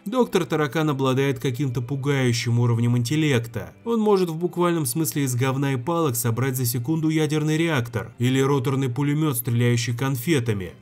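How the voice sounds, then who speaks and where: A male narrator hosts an explainer video with a scripted but informal delivery. He tells things colloquially and slips in slang, at an ordinary pitch. Music plays behind his voice. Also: a little fast, few pauses. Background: background music.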